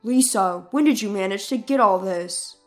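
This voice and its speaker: A woman's voice: mid-range voice, normal speed, darkish tone, soft background music, voice pushed down, close to an everyday voice. A woman voicing a defensive cheating husband in a scripted story, pleading and condescending, with emphatic delivery. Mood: stunned.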